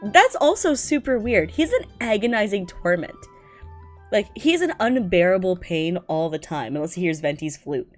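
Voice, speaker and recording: A woman's voice: medium pitch, lively intonation, normal speed, faint music behind. A woman streamer in a giggly, slangy, incredulous style, reading aloud and reacting casually.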